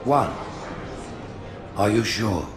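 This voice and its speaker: An actor playing a stately alien high priest in a video game, speaking as a measured, commanding male orator. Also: lively intonation. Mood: probing. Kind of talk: dramatic video game acting.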